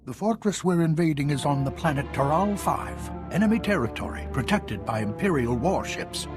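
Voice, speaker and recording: Man acting high, swooping old Jedi master. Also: lively intonation, over music, natural voice. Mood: grave, explanatory. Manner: dramatic pauses, drawn-out mentor phrasing. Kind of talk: video game voice acting.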